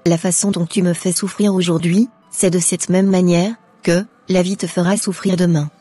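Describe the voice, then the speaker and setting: A stern, fed-up cartoon mother acted by a woman speaks in a scolding, deliberate way. Her voice is mellow and husky, with faint music playing behind her. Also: on the low side, level tone, dry recording. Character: stern, exasperated mother of a wayward son. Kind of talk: scripted cartoon dialogue.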